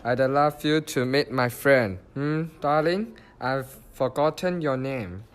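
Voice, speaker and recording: A man's voice: mid-range voice, slow pace, clean tone, natural voice. A man playing a sly, joking male fox in an amateur radio play, teasing in a leisurely way.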